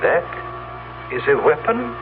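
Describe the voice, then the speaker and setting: High-voiced, stilted otherworldly radio stranger. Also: male voice, big pitch swings, measured pace, noisy background. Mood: calm, measured. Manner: stilted formal diction. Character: calm, formal, otherworldly male stranger Michael. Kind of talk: 1970s radio drama acting. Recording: muffled old radio recording, music behind.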